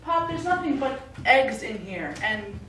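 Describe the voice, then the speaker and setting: A woman's voice: on the high side, sing-song, measured pace, fairly bright tone. A middle-aged woman playing a practical, concerned daughter in a live stage play, coaxing with mild exasperation. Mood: patient, exasperated. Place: small indoor theatre stage, recorded from afar. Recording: echoey theatre, distant mic, faint noise.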